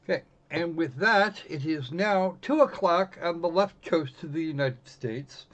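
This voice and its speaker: Male streamer, slightly high voice, casual and rambling, lo-fi sound. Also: a little slow, fairly bright tone. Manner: rambling, self-correcting. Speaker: live stream host. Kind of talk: casual livestream chat while gaming. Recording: dull, lo-fi voice recording.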